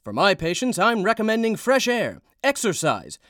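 An actor playing an earnest, peppy doctor pitching a tonic in an old-time radio advertisement. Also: male voice, wildly swooping pitch, moderate pace, a bit bright, no room sound, clean studio sound, heavily acted. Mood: earnest salesmanship. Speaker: doctor in sponsor ad.

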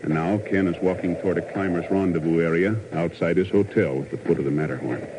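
A man's voice: deep voice, quick pace, noisy background. A man doing scripted announcing and narration in 1950s radio style. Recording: muffled 1950s radio broadcast.

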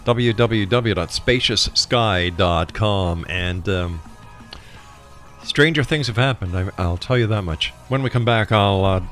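Male radio host, fairly deep register, emphatic presenter delivery in short phrases. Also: a bit bright. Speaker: radio talk show host. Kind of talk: radio presenting, announcing and reading notes.

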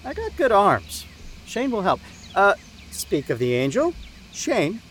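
Lively, unhurried male camp counselor. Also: sits high, a little hiss or hum, heavily acted. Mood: cheerful, coaxing. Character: cheerful, teasing male camp counselor rousing sleepers. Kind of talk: audio drama acting.

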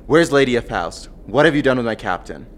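A man's voice: medium pitch, animated, a little fast, close to an everyday voice. Cocky space rogue, played by a man, noisy backdrop. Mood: defiant. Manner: cocky, defiant. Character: defiant roguish royal-born mercenary pilot, man. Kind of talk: science-fiction audio drama acting.

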